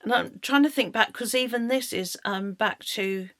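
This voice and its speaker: Croaky middle-aged British woman. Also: on the high side, a bit bright, dry recording, studio quality. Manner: halting, searching for words. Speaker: narrator of her own life story. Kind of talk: personal storytelling monologue.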